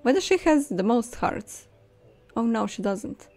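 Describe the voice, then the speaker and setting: Unhurried, animated young woman gaming. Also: a little high. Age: young adult. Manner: expressive, musing to herself. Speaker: video game streamer. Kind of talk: casual gameplay commentary. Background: soft game music.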